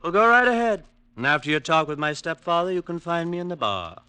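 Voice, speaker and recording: Drunk sardonic stepson, clear bright voice, old radio style. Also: male voice, a little high, lively intonation, brisk, no room sound. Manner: slurred, mocking, grandiloquent. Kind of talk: 1940s radio acting.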